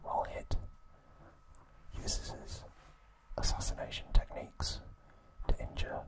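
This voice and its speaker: Man whispering very slowly, ASMR style. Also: poor audio. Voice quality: breathy, unvoiced whisper. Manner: slow, soft, gentle delivery. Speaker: ASMR host. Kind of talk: ASMR whispered episode recap.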